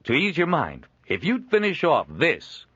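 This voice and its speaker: A man doing a booming jolly trader. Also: huge pitch swings, a little slow, fairly bright tone, husky, no echo, dull audio, heavily acted. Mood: jovial. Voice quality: hearty, booming, laughs often. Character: jovial middle-aged male traveling trader.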